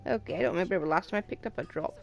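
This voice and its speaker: A woman's voice: fairly low pitch, very fast, creaky. A woman livestreamer giving casual, chatty game commentary with dry quips. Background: game music.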